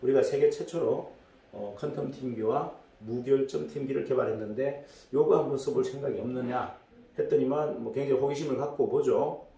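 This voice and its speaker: A hoarse middle-aged man giving a casual business lecture as a story, rambling with many fillers and restarts. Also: medium pitch, a little fast, dark tone. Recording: echoey room, distant mic.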